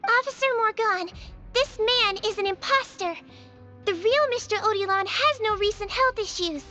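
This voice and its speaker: Tiny high-pitched girl, earnest game character, inquisitive, polite, slightly dull audio. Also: moderate pace, sharp tone, soft background music. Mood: firm, accusing. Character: small earnest young girl head nurse, sharp-eyed and curious. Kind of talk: video game voice acting.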